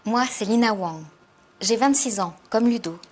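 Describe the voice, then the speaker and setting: A young office worker, friendly and matter-of-fact. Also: female voice, on the high side, a little slow, darkish tone. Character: Lina, 26-year-old female office worker. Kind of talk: scripted self-introduction.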